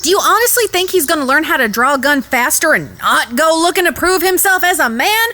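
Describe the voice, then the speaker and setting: A fiery frontier woman, played by an actress in a Western radio play. Her voice is high and bright, swinging widely as she speaks with emphatic, protective force. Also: animated, a little fast. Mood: indignant scolding. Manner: scolding, emphatic, forthright. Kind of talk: Western audio drama acting.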